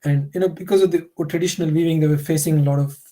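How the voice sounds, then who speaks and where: A man's voice: a little high, fairly even intonation, very fast, warm tone. A man, a Telugu speaker, giving a workshop lecture in a conversational way, full of "you know" fillers. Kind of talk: online lecture.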